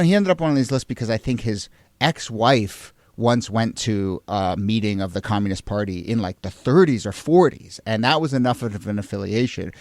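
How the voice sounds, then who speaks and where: A man's voice: on the low side, dry recording. A man hosting a conversational history discussion, informally thinking aloud in long sentences. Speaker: podcast host.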